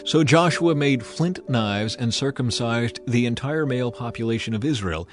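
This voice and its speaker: A man's voice: mid-range voice, normal speed. Man narrating solemn scripture over music. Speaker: narrator of a dramatized audio Bible. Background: background music.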